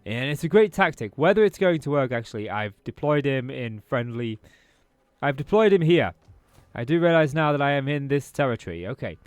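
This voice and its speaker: Chatty British man with a lively voice. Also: mid-range voice, no echo. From Britain. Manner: chatty, thinking aloud while playing. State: recovering from a throat infection. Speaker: gaming YouTuber. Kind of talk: casual let's-play commentary.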